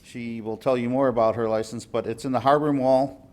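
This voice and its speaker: A man's voice: mid-range voice, fairly even intonation, a little fast, a little mellow. A man serving as deputy liquor commissioner, giving a businesslike report to a village board, with fillers and restarts. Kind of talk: formal report at a public meeting. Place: village board meeting room.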